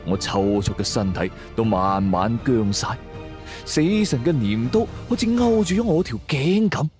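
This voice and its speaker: A man's voice: medium pitch, lively intonation, normal speed, darkish tone. A man narrates a scripted crime and ghost story in a suspenseful, theatrical way. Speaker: audio drama narrator. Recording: music bed under the voice. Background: background music.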